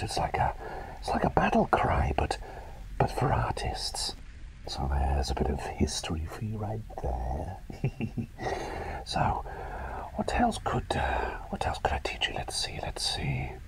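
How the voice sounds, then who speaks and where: Eccentric plague doctor, acted by a man, very deep breathy whisper, soothing, hesitant, ASMR roleplay. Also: full character voice, rough recording. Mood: curious, fussing. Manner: hesitant, muttering, gently soothing and coaxing. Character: eccentric, doting plague doctor who experiments on patients.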